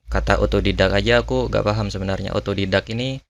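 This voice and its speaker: Guy hosting a casual q&a, lowish voice, kinda thinks out loud. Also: fairly even intonation, fast, creaky. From Indonesia. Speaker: language channel host answering viewer questions. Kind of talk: casual Q&A chat.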